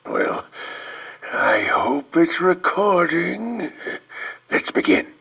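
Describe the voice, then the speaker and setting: Distraught male researcher, video game drama, slow halting delivery, rough gravelly voice, theatrical. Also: fairly high pitch, big pitch swings, a bit bright, exaggerated voice. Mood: grim, tense. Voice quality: raspy, gravelly. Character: distraught male researcher recording a grim last testimony.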